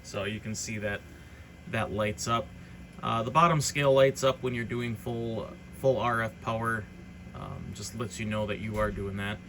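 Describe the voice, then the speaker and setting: Man explaining gear over clear background noise. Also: fairly low pitch, steady tone, normal speed, a bit bright. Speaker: hobbyist presenter demonstrating radio gear. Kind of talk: informal product walkthrough.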